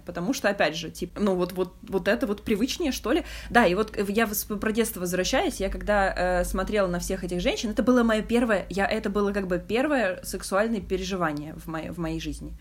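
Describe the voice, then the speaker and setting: Young woman talking candidly, searching for words. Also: mid-range voice. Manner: frank, reflective, searching for words. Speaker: podcast host. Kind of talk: candid casual conversation.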